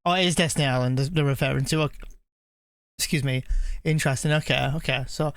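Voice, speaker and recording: A man's voice: medium pitch, steady tone, fast talker, a bit bright, no room sound. A man giving casual live commentary as a streamer watching game cutscenes. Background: game music.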